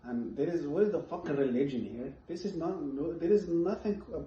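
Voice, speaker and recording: A man from Morocco talks bluntly in a casual chat and swears freely. His voice is mellow and somewhat high. He sits away from the mic in an echoey room, and the sound is dull. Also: a little fast, recorded from a distance, a little hiss or hum. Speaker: guest. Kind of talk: casual conversation. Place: apartment room.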